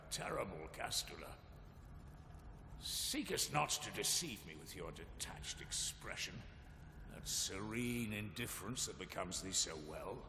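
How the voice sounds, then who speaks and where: Sharp, scornful brother, deep and echoing. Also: male voice, vocal fry, heavily acted. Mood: bitter accusing. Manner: archaic, theatrical, accusing diction. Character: scornful, resentful brother in a gloomy manor. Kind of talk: scripted dramatic game dialogue. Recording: echoing hall, long reverb, faint hiss.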